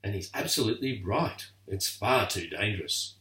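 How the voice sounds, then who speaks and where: An older man voicing a scheming, jealous lion uncle who speaks slowly with a low sneer. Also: animated, sharp tone. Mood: sly. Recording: echoey room, far from mic.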